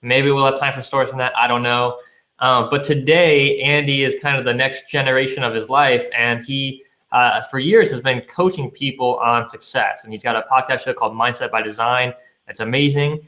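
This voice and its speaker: A man's voice: mid-range voice, steady tone, normal speed, bright, forceful tone, poor audio. A young man hosting a podcast, easygoing and laid-back in casual interview talk. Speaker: podcast host.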